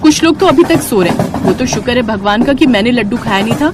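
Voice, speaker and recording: A woman's voice: a little high, animated, fast talker, heavy background noise, pitched above the performer's own voice, natural voice. A woman playing a brave, alert young woman in a story, polite, earnest and chatty. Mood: accusing.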